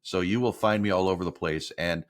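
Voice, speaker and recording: Low-voiced earnest voiceover man. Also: fairly quick. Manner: earnest, gets emotional about his work. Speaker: voiceover artist, guest. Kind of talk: casual interview talk.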